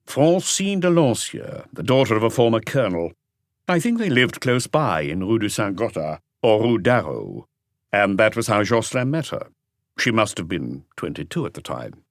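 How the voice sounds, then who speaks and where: A man's voice: on the low side, big pitch swings, moderate pace, dry recording, studio quality. A man voicing an earnest, talkative doctor who explains things with assurance in an audiobook mystery. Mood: frank, explanatory. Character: earnest male doctor, talkative and conscientious family friend.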